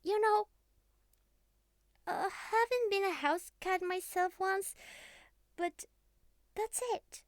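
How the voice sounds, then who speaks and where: Very high-voiced, naive young catgirl. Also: slow, long pauses, dry recording, studio quality. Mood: hurt, confused. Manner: childlike, hesitant, pleading, slightly formal phrasing. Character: young catgirl, former house cat, naive, clingy, earnest. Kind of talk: intimate ASMR roleplay monologue.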